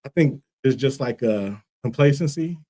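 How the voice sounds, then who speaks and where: A man's voice: mid-range voice, expressive, normal speed, warm tone. A man from Liberia, a guest on a talk show, who answers earnestly and thoughtfully with many fillers. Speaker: guest, school co-founder. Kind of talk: casual interview answers.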